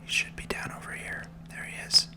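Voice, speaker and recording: A man giving soft, unhurried, soothing ASMR commentary while playing a video game. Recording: game music behind the voice. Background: video game music.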